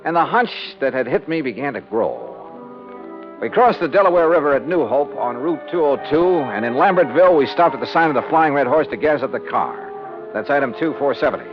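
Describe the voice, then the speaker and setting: An actor playing a brisk, skeptical insurance investigator in a 1950s radio noir, wry, clipped and confident. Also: male voice, mid-range voice, lively intonation, lightly acted. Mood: wry narration. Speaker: lead detective and narrator. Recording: muffled old radio recording, music behind.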